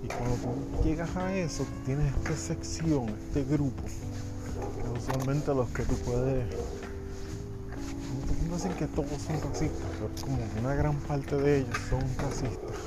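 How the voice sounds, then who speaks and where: A man's voice: medium pitch, measured pace, loud music behind the voice, poor audio. A man hosting a casual solo podcast, talking bluntly and swearing freely, with frequent restarts. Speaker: podcast host. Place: United States.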